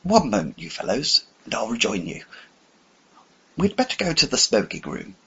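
A man voicing a bewildered, polite elderly English gentleman who hesitates and stammers, his voice climbing high. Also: wildly swooping pitch, moderate pace, gravelly, husky, muffled recording, exaggerated voice. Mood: flustered.

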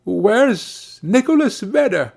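A man's voice: high voice, sing-song, takes it slowly, vocal fry, a little mellow, voice pushed up, exaggerated voice. A man voicing a humble, bewildered old man in a storybook, hesitant and plaintive. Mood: puzzled.

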